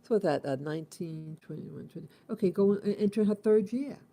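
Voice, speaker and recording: Very dark-voiced woman in her fifties musing. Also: mid-range voice. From United States. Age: 50s. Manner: halting, repeats and restarts, musing. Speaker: host. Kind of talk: casual spoken morning reflection.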